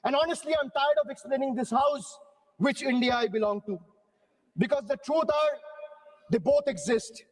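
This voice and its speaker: Man from bengal giving a big speech in parliament, really high voice, long reverb in the hall. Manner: rhetorical, declaiming in parallel phrases. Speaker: member of parliament. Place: large parliament chamber.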